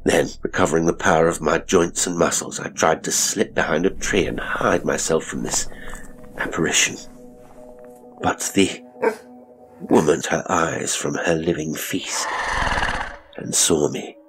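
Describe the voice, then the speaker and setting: A man narrating a classic horror story as a dramatic audiobook, grave, theatrical and suspenseful, with deliberate phrasing. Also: a bass voice, fairly even intonation, over music, rough recording.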